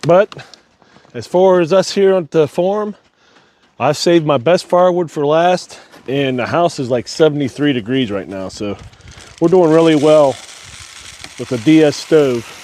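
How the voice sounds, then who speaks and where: A man's voice: fairly high pitch, unhurried, long pauses, warm tone, a little hiss or hum. A man who is losing his voice, chatting casually about his farm and woodstove, laughing between remarks. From Ohio. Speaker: homestead vlogger. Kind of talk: casual vlog walkthrough. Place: farm outdoors and basement.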